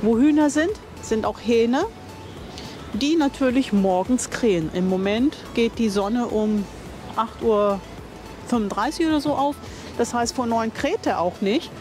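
A woman's voice: a little high, measured pace, darkish tone, soft background music. An older woman giving a chatty, enthusiastic on-camera tour of a campsite outdoors, thinking aloud and correcting herself as she goes. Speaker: vlogger touring a campsite. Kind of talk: casual on-camera tour commentary.

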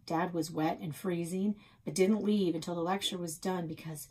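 A woman's voice: fairly low pitch, fairly even intonation, moderate pace, mellow, husky. A middle-aged woman reading a children's novel aloud as a storyteller, recorded in a small office at about arm's length.

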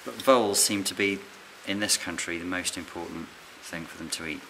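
A middle-aged man, a licensed bird ringer, calmly explaining his fieldwork while handling birds in a farm barn. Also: low-pitched, brisk, vocal fry. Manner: calm, quiet, hands-on explaining with fillers. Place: open farm barn on straw, outdoors air. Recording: noisy outdoor camera recording.

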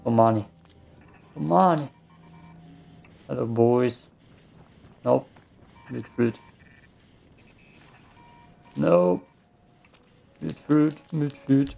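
A man giving casual, low-key gameplay commentary in muttered asides. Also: lively intonation, extremely slow, vocal fry, long pauses, warm tone, faint music behind. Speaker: gamer doing a let's play.

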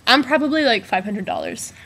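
A teenage girl from Arizona chats into a laptop webcam at home, teasing her co-host with a giggly manner. Her voice sits a little high and sounds bright. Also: huge pitch swings, a little fast, vocal fry. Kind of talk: casual webcam chat. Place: bedroom at home, indoors. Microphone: built-in laptop webcam mic.

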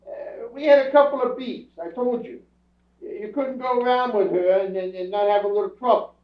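A man playing a defensive, self-pitying, streetwise bum under questioning in a staged radio crime drama, protesting in halting, ungrammatical speech. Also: sits high, steady tone, natural voice. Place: theatre stage before an audience. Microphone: far camera. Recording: roomy theatre stage from far off, muffled.

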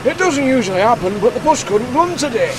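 A man's voice: very high voice, big pitch swings, a little fast, a crowd in the background, pitched above the performer's own voice. A man voicing a polite, placating quarry manager in a children's story. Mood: apologetic. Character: apologetic, reassuring male quarry manager.

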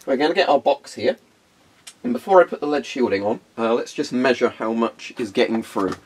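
A man's voice: mid-range voice, lively intonation, fairly quick, slightly rough. A young man with a congested, phlegmy voice, casually demonstrating equipment in a carpeted room and rambling with frequent ums. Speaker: hobbyist video host.

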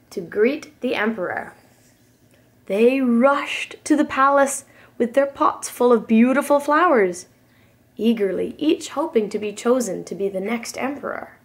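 A young woman reads a children's story aloud. Her voice is a little high and her pace is slow, with careful, expressive phrasing meant for small children. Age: young adult. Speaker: preschool teacher reading aloud. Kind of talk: children's picture book read-aloud.

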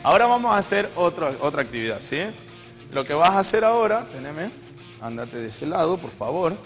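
A man's voice: fairly high pitch, sing-song, brisk. A young Argentine man instructing and encouraging as a fitness trainer during a live exercise demonstration. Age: young adult. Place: TV studio, indoors. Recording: dull echoey TV audio, music behind.

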